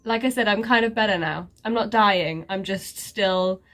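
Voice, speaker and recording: A woman's voice: medium pitch, moderate pace, fairly bright tone. A woman vlogger giving a casual life update, her nose stuffy and congested, rambling and sarcastic about herself. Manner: sarcastic, self-mocking, rambling with many fillers.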